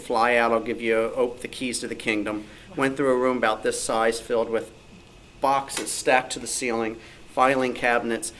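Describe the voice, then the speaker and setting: A man's voice: medium pitch, fairly bright tone. Guy author chatting about his research at a club meeting, medium voice, noisy room. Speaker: author and researcher of old-time radio, panel guest. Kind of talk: anecdotal panel talk. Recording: clearly noisy live room.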